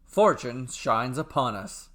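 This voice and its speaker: A man's voice: mid-range voice, animated, slow pace, fairly bright tone. A man voicing a brave, carefree brother in a storybook tale, hearty, confident and declamatory. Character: brave, carefree, boisterous brother, ever-optimistic sailor. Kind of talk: dramatic storybook character acting.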